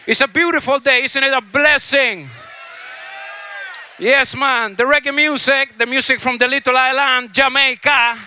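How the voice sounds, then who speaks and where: A man's voice: very high-pitched, fairly even intonation, moderate pace, pushed and bright, smooth. A man from Chile working as a reggae festival MC, exclaiming and repeating phrases in reggae slang to hype the crowd over a stage microphone.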